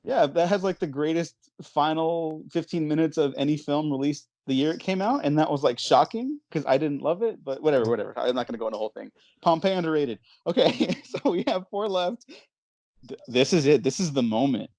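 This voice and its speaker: A man hosting a podcast in casual chat, animated and playfully dramatic, often interrupting himself as he moderates a debate. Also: a little high, fairly quick, no echo. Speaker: podcast host.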